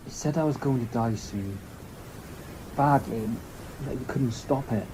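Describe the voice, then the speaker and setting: A man's voice: mid-range voice, unhurried, noisy background, lightly acted. A man playing a wary, anxious young companion in a fan audio drama, hesitant and questioning. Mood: shaken. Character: wary, anxious young male companion, loyal but tempted.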